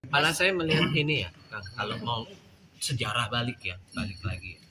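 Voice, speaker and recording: A man's voice: medium pitch, animated, moderate pace. Reflective man, music underneath. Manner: reflective, hesitant, many fillers and restarts. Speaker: mime discussion panelist. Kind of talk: casual panel discussion. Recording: clear background music.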